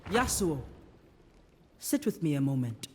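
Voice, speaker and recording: An actress playing a calm, devout warrior priestess of a sea goddess in a video game, speaking in a measured, solemn way. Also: female voice, low-pitched, a little hiss or hum. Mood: calm, friendly. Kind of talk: dramatic video game acting. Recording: music under the voice.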